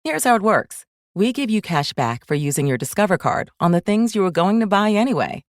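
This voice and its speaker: A woman's voice: medium pitch, big pitch swings, brisk, dry recording, studio quality. A woman reading a scripted explanatory advertisement as an announcer.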